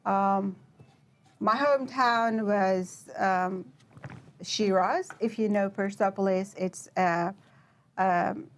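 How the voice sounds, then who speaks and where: A young woman from Iran, answering interview questions as a guest, with many pauses and fillers. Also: medium pitch. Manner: hesitant, many fillers and restarts. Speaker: PhD researcher, guest.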